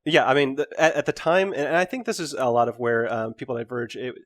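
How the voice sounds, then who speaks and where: A man's voice: mid-range voice, steady tone, fast, a bit bright. A man co-hosting a podcast, chatting casually in a group discussion. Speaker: podcast co-host.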